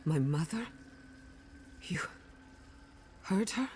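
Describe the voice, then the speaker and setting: A woman's voice: fairly low pitch, expressive, very slow, darkish tone, slight background noise. An actress playing a haunted, defiant woman in a video game, intense and emotional in her delivery. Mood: shaken, pleading. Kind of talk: video game dramatic dialogue.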